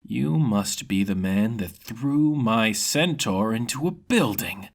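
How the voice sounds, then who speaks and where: Man voicing a menacing, husky angel gang boss. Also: fairly low pitch, animated, exaggerated voice. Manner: deliberate, mock-polite threats.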